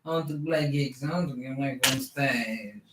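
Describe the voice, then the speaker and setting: A man's voice: mid-range voice, unhurried, noisy background. A man with a light Italian accent bantering on a livestream, teasing with short playful quips. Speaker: band member and co-host. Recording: roomy sound from a distant mic.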